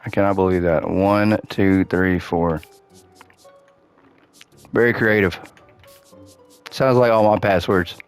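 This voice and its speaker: A man's voice: low voice, steady tone, slow, long pauses, smooth, faint music behind. A man hosting a gaming channel, chatting casually while he plays, his voice cracking and worn as he loses it. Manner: chatty, upbeat, thinks aloud while playing. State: losing his voice. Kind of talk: casual gameplay commentary.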